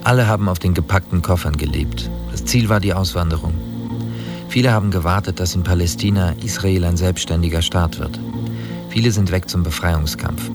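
A former partisan recalls his postwar work in a low, level voice. He speaks as plain documentary testimony in a radio play, with music running beneath. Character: male former partisan, liaison for escape network.

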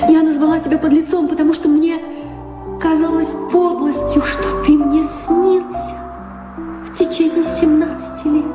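A woman's voice: high-pitched, little pitch movement, normal speed, lightly acted. An actress playing an anguished middle-aged noblewoman in a radio drama, speaking lyrically and with emotional weight. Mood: aching regret. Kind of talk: theatrical radio drama acting. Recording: echoey hall reverb, music behind, radio play.

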